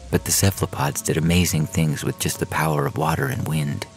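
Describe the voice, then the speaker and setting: A man's extremely deep voice, heavy with gravelly fry, reads a science fiction story. His delivery is measured and literary, like a storyteller, with faint music running underneath. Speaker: fiction podcast narrator. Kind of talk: scripted first-person science fiction story reading. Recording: music bed under the reading.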